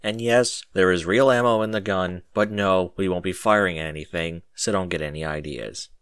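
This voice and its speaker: A proud, sly military captain acted by a man in a storybook reading. He is cool and matter-of-fact, with a fairly low, bright voice and a dry, close sound. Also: steady tone, normal speed, clean tone, dry recording. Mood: flat.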